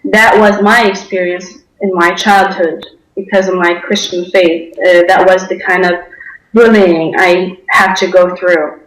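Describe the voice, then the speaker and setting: A woman's voice: on the low side, fairly even intonation, measured pace. A young woman from Denmark giving personal testimony as an interview guest, speaking hesitantly, in a roomy, echoey sound.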